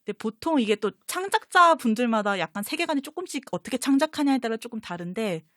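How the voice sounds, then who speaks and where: A woman's voice: fairly high pitch, animated, quick pace, fairly bright tone, no echo, clean studio sound. A young adult woman guest in a casual chat, explaining her favorites at length in an enthusiastic, giggly manner. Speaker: guest on a fan talk show.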